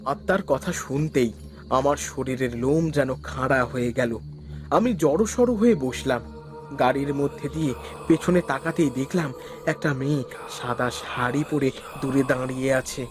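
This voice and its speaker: A man's voice: medium pitch, unhurried, faint music behind. A man giving a scripted first-person narration of a horror story.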